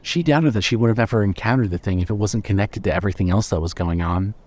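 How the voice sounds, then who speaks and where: A man's voice: on the low side, fast, a little mellow. A man reading scripted narration for a horror fiction audio drama.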